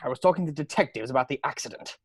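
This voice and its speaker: Uptight police officer, husky voice, very rapid lines, read by a man. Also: medium pitch, expressive, close to an everyday voice. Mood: stern. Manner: clipped, proper and earnest. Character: stiff, formal adult male police officer. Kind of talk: table read over video call. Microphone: video call.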